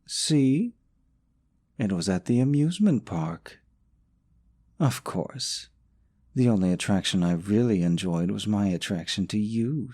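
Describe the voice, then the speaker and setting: Smug, low-voiced possessive boyfriend played by a man. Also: darkish tone, full character voice. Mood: sarcastic, wooing. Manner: smug, sarcastic, cloyingly sweet to listener. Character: smug possessive young boyfriend stalker, sneering at his rival. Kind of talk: scripted romantic audio roleplay.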